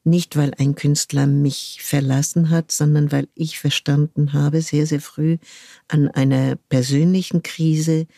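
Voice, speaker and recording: An older woman from France, speaking thoughtfully as an interview guest and pausing often to search for the right word. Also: sits low, level tone, warm tone, no room sound, clean studio sound. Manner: thoughtful, searching for words with frequent pauses. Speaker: guest, cultural manager. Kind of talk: reflective conversational interview.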